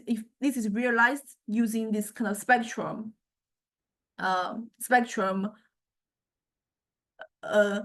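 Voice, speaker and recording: Woman teacher walking thru a software demo, kinda high voice, stop-start and repeats words while she looks for the right one. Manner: halting, searching for words, repeating words. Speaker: lecturer. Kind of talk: explanatory software demonstration lecture.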